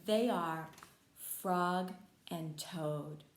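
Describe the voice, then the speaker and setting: A woman reading a storybook aloud for young children, with expressive, simple child-directed talk. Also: fairly low pitch, extremely slow, a little hiss or hum. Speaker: pre-K read-aloud host. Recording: echoey room.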